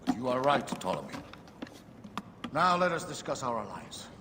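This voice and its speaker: A man acts out a composed Roman general with a measured, wry delivery and lively pitch. His voice rings with a long reverb, as in a large hall, over faint noise. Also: close to an everyday voice. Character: calm, authoritative Roman general.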